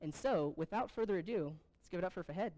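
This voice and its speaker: A woman's voice: fairly low pitch, lively intonation, fast talker, heavy background noise. A woman hosting a tech showcase, casually walking the audience through a website and introducing speakers. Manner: casual, joking, plain. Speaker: event host.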